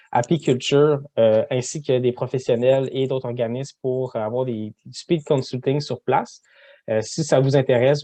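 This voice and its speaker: A male webinar host with a Quebec French accent speaks in a soft-edged, mellow voice. His delivery is halting as he makes semi-prepared announcements, and the sound is very dry and close. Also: mid-range voice, dry recording.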